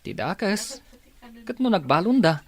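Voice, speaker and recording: A man's voice: sits high, big pitch swings, unhurried, full character voice. A man playing a dutiful young student son talking with his mother in a Philippine radio drama. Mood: patient. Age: young adult.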